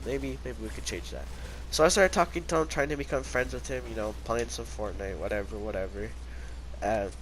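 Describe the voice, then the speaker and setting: A man's voice: mid-range voice, fairly bright tone, a little hiss or hum, rough recording. Stuffy-nosed teenage boy telling a story. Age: teens. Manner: rambling, many "I was like" quotes. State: stuffy, congested from a cold. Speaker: vlogger. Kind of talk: casual storytime.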